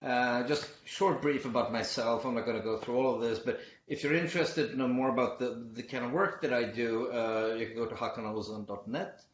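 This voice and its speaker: A man's voice: medium pitch, fairly even intonation, fairly quick, few pauses. A middle-aged man from Sweden giving an online conference presentation, hesitant with many fillers and restarts. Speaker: conference speaker. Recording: muffled lo-fi audio in an echoey room.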